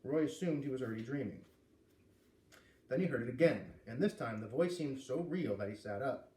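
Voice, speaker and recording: A man reading a children's novel aloud in an expressive storytelling style. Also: medium pitch, brisk. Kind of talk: read-aloud storytelling with acted dialogue. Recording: roomy, distant mic with light noise.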